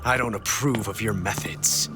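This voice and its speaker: A man's voice: fairly low pitch, normal speed, lightly acted. An actor playing a serious military man in a video game spy drama, speaking in a terse, restrained way. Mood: wary. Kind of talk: video game cutscene acting. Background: game music.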